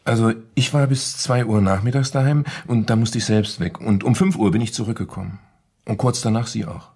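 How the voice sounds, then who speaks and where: A timid husband, embarrassed and full of suspicion. His voice is rather low and extremely husky. He talks haltingly and secretively, in the manner of a radio drama. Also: darkish tone. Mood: unsure, sheepish. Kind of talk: German radio play acting.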